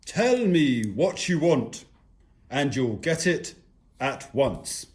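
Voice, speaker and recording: A man's voice: medium pitch, very animated, slow, a little mellow. A man voicing a pompous, grand king of Persia in a rhyming children's puppet show. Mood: generous. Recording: music behind the voice.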